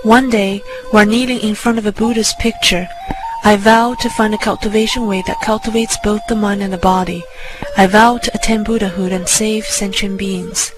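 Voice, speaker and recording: A woman reading a scripted first-person spiritual story in a measured storytelling manner. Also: mid-range voice, steady tone, low-quality recording. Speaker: narrator. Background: music under the voice.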